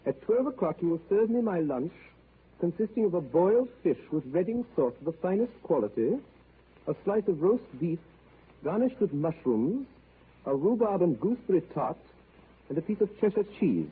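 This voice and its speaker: Cold, precise gentleman in a vintage radio drama, measured and crisp, tinny broadcast hiss. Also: high-pitched, very animated. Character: precise, cold English gentleman of fixed habits. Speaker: actor in a radio play. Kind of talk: 1930s radio acting.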